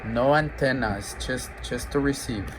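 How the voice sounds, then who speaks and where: Casting: male seller, medium pitch, halting and informal product demo, noticeable noise behind him. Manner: halting, thinking aloud. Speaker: radio seller demonstrating gear. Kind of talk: casual hands-on product demo. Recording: clear background noise, steady hum.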